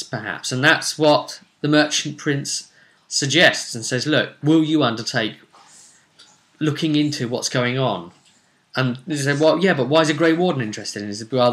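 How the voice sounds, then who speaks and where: A man's voice: mid-range voice, bright, forceful tone. A man recounting a tabletop roleplay session as game master, storytelling and musing aloud with rhetorical questions. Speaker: game master recapping a campaign.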